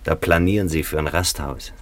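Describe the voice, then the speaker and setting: A man's voice: extremely low pitch, normal speed. A man acting a wry, chatty small-town petrol station keeper in a German radio play, folksy and colloquial. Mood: confiding. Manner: folksy, colloquial, deferential to the doctor.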